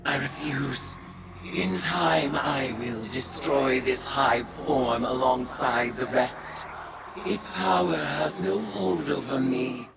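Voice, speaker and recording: An actress playing a vengeful woman turned dark alien war god in a video game, speaking in a solemn, imperious, grandiose manner. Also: extremely low pitch, gravelly, exaggerated voice. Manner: grandiose, deliberate, theatrical delivery. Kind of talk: video game dramatic monologue. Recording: loud music under the voice.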